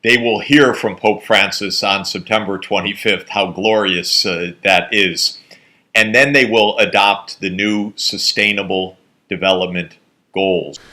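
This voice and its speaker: Deep, slow man giving a halting formal speech. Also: steady tone, pushed and bright. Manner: halting, with frequent "uh" pauses. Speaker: economist giving a conference address.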